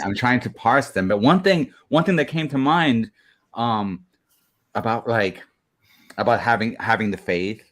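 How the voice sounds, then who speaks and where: A man's voice: on the low side, a bit bright. A man co-hosting a podcast, working out his questions aloud and restarting phrases. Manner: searching, restarts phrases while forming questions. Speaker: podcast co-host. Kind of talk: casual podcast interview.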